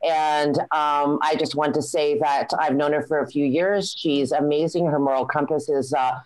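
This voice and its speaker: Woman giving a brief, halting endorsement. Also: low voice, steady tone, no echo, poor audio. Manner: warm praise with hesitant fillers. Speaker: president of a political women's group. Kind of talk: brief endorsement on a video call. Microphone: video call webcam.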